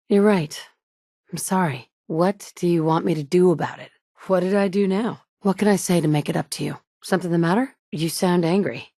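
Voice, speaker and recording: A woman's voice: on the low side, a little mellow, no echo, studio quality. A woman voicing a tough, blunt female survivor in a game, with curt, commanding lines. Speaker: player character. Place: recording studio. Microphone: close studio mic.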